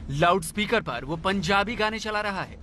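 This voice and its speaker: A male reporter narrates a scripted news story with dramatic emphasis. His voice is high-pitched and sharp, and faint music plays behind him. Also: normal speed.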